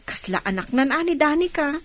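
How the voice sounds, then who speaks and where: A woman's voice: high-pitched, slight background noise, heavily acted. A woman playing a kindly, chatty farmhouse housekeeper in an audio drama.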